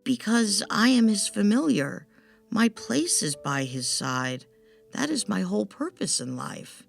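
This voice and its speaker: Woman playing a timid female bat, cautious, lonely, hesitant, slow lilting storybook voice, soft music behind. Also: mid-range voice, very animated, creaky, pitched above the performer's own voice, full character voice. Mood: sad, resigned. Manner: hesitant, anxious. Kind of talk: storybook character voice.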